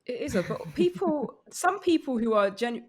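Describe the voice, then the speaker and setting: A woman comedian chatting casually as a podcast guest, riffing in a rambling, animated comic way. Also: fairly high pitch, moderate pace. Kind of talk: casual comic panel chat.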